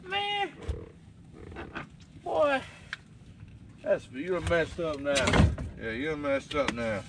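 A man's voice: fairly high pitch, huge pitch swings, takes it slowly. A tired man with a drawl, talking in a folksy, teasing way to the camera and to the fish he catches while camping and fishing. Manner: drawling, folksy, talks to the fish and teases them. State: tired and sleepy. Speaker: fishing vlogger. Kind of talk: casual running talk to camera while fishing. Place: outdoors on a lake at night, camping. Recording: noisy outdoor lake recording. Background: lake and outdoor ambience.

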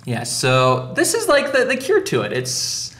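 A man's voice: mid-range voice, huge pitch swings, a little slow, a bit bright, echoey room. A man hosting a casual podcast, chatting in a jokey, self-deprecating way. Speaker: podcast host.

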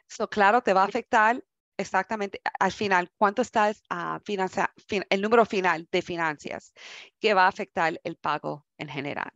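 A woman's voice: medium pitch, a bit bright, no room sound. Woman presenting a workshop over a dull call. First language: English. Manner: conversational, frequent restarts, mixes in English words.

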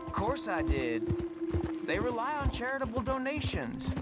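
A high-voiced, naive young Westerner. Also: male voice, very animated, measured pace, full character voice. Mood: defensive, dejected. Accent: American. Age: young adult. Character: naive, earnest, insecure young man of the Old West. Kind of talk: video game dramatic acting. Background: music, horse hooves.

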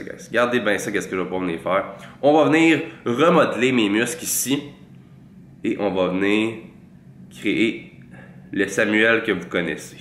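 A man's voice: fairly low pitch, a bit bright, a little hiss or hum. Québécois man in his twenties, stuffy with a cold, teasing and mock-boastful, close studio mic in an echoey little room. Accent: Québécois French. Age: 20s. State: congested with a cold. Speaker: fitness vlogger. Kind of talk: joking tutorial to camera. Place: small room with a green-lit wall. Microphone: large studio mic in front.

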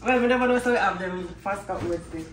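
A woman's voice: mid-range voice, quick pace, recorded from a distance. A young woman with a Jamaican accent chats casually as a family vlogger, in informal Patois-inflected phrasing. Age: young adult. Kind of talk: casual vlog chat. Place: indoors in supermarket and home kitchen. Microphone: phone camera, handheld or a step away. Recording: roomy, noisy handheld phone audio.

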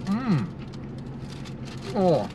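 American male food reviewer, middle-aged, slightly high voice, casual and savoring; noisy car-cabin recording. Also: huge pitch swings, very slow. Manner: talking between bites, savoring, enthusiastic. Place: inside a parked car. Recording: car cabin with clear ambient noise.

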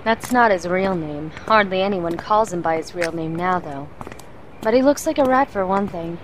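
A woman playing a composed, wry assassin, explaining things in a measured way in an audio drama. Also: on the low side, slight background noise, close to an everyday voice, rough recording.

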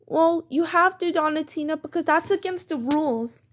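A woman's voice: high-pitched, moderate pace, natural voice. A woman playing a strict mother who lays down rules in a firm, scolding way, in a homemade pretend-play skit.

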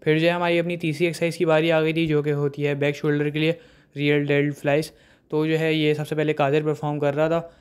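A young man calmly narrating his gym workout as a voice-over, with many fillers. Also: on the high side, a little fast, clean tone. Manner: steady recap, heavy fillers.

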